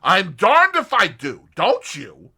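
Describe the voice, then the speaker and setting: Brief: man putting on a pushy American in his thirties, loud and raised, bright and forceful. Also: high voice, wildly swooping pitch, unhurried, pitched above the performer's own voice, heavily acted. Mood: blunt. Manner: loud, blunt and impatient. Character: brash young American man around thirty-five. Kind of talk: audiobook character voice.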